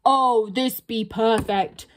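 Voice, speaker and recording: A woman voicing a huge, greedy, boastful male troll who speaks slowly in broken grammar. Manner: slow, rumbling, ungrammatical speech.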